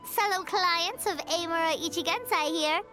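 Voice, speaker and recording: A woman voicing a small, childlike fairy companion in a video game, cheeky, chatty and expressive. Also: extremely high pitch, normal speed, soft background music. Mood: eager. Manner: perky, expressive.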